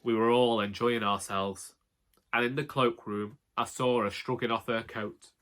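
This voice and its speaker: Man, Northern English accent, comic audiobook narration, wry, colloquial, bright forceful tone, medium pitch. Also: steady tone, a little slow. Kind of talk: comic first-person storytelling.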